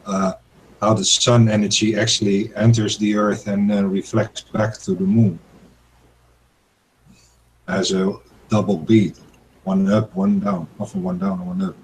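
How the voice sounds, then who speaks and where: Low-voiced man, faint noise behind. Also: measured pace, long pauses, darkish tone, poor audio. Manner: hesitant, many fillers. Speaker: chat participant. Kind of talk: casual group voice chat.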